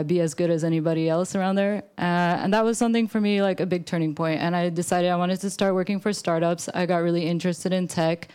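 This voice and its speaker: A woman speaks conversationally about her own path as a panellist. Her voice is rather low and very clean and smooth. The melody stays quite flat throughout. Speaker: startup co-founder, panel speaker. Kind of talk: conversational personal story on a panel.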